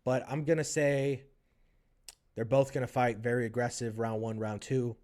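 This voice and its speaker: A man's voice: medium pitch, little pitch movement, normal speed, a little mellow. A man co-hosting a podcast, giving a casual fight breakdown and chatting with a guest. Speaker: podcast co-host. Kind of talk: casual MMA breakdown and interviewing.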